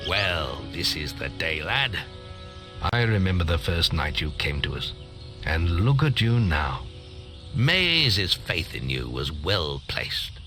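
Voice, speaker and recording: Game elder: older British guildmaster, low-pitched, slow, solemn and ceremonial. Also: male voice, very animated, pushed and bright, heavily acted. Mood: solemn, fatherly. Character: grave, fatherly older male guildmaster. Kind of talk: fantasy video game acting. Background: music.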